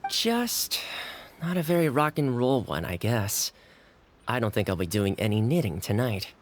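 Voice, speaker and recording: A man's voice: medium pitch, sing-song, moderate pace, a little hiss or hum, lightly acted. A man playing an easygoing, modest, good-natured young man in a video game, thoughtful and a little self-deprecating. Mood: modest, amused. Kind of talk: video game cutscene dialogue. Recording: music behind the voice.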